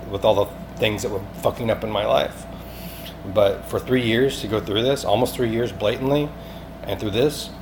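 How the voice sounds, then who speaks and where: A low-pitched man speaks in a rambling, insistent monologue, as a caller leaving a voicemail. He is agitated and repeats himself, with some profanity. Clear noise runs through the recording. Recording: clearly noisy amateur phone-call recording.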